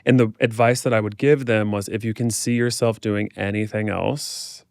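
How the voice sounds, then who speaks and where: A man with a slightly low, clean and resonant voice, recorded dry and close. He chats casually as an interview guest, telling personal stories with candid, slangy animation. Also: fairly even intonation, moderate pace, gravelly, no room sound. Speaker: podcast guest, performer. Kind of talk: casual chat, personal storytelling.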